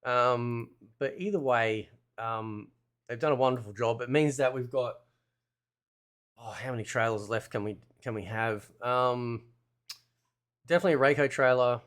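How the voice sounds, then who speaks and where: A man's voice: medium pitch, moderate pace, a bit bright, clear, resonant tone. A man hosting a gaming channel, giving casual trailer commentary in a rambling way, thinking aloud as he goes.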